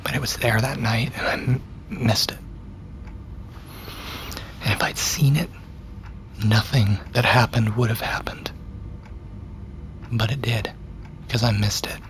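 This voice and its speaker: A man's voice: mid-range voice, fairly even intonation, unhurried, pauses often, fairly bright tone, a little hiss or hum. Troubled, sardonic young American man. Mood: subdued, rueful. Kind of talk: naturalistic audio drama acting.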